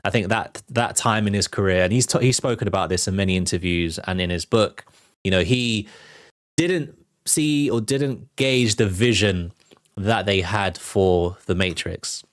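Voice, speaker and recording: A man's voice: on the low side, measured pace, no echo, clean studio sound. A man hosting a live entertainment news show, chatting casually and talking straight to his viewers. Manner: conversational, addresses viewers directly. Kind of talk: casual livestream commentary.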